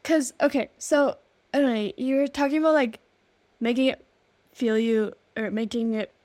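A young woman from Nepal co-hosting a casual chat, her voice sitting a little high. She talks in a relaxed way with easy asides. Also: moderate pace. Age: young adult. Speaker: co-host.

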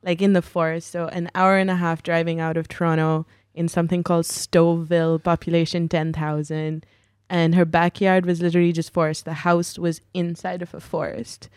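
A woman from Pakistan, a podcast guest telling stories in casual chat with quick, dark humour. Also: on the low side, little pitch movement, clear, resonant tone, no echo, clean studio sound.

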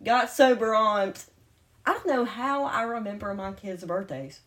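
A woman in her late twenties with a slight lisp, chatting casually as a podcast co-host, animated and jokey. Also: medium pitch, measured pace. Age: late 20s. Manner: animated, jokey, swings loud and quiet. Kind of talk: casual chat.

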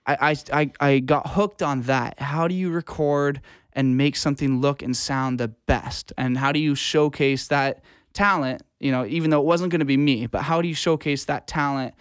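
A young man talks as an interview guest, telling stories in a casual, unpolished way. His voice is clean and smooth at a middle pitch. The sound is dry, with hardly any room on it. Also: steady tone, moderate pace, no room sound. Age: young adult. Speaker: interview guest, audio engineer. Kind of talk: casual conversational storytelling.

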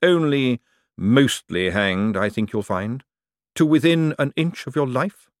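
Audiobook role: ruling lord male narrator acting, measured, formal, quietly threatening, drily ironic, close dry sound. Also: medium pitch, animated, no room sound, pitched above the performer's own voice, close to an everyday voice. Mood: coolly commanding. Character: urbane, calmly menacing ruling lord, dry and precise.

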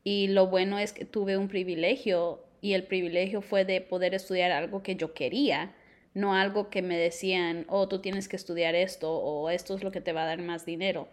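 A woman's voice: lowish voice, fairly even intonation, moderate pace. A young woman co-hosting a podcast, chatting casually and mixing in English words. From Colombia. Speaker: podcast co-host. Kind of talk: casual chat.

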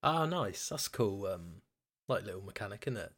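A man with a fairly low voice hosts a relaxed podcast chat. He talks informally and with banter, like someone among mates. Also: moderate pace. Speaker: podcast host. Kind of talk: casual chat.

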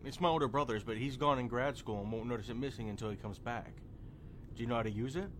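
An actor playing an excitable, apologetic young friend in an audio drama, questioning and hesitant in manner. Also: male voice, mid-range voice, fast, slight background noise, natural voice. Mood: sheepish, cooperative. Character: jittery, apologetic young friend named Tavy, easily amazed. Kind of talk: immersive audio drama roleplay acting.

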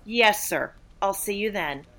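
A woman's voice: medium pitch, animated, measured pace, pushed and bright, a little hiss or hum. A woman playing an aloof, blunt saleswoman in a comedic audio play, with a dry, dismissive manner. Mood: brisk, polite. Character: aloof, blunt, sardonic female office saleswoman named Tuesday.

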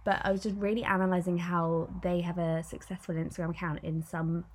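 A woman's voice: on the low side, level tone, a little fast. A young woman in her twenties co-hosting a casual podcast, rambling and laughing often. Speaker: podcast co-host. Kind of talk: casual chat.

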